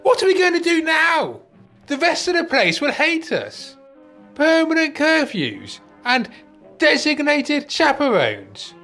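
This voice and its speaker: A man acting an anxious older sidekick in a radio play, grumbling and plaintive. His voice is bright and swings widely in pitch. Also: wildly swooping pitch, takes it slowly, faint music behind, exaggerated voice. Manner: worried, plaintive questioning.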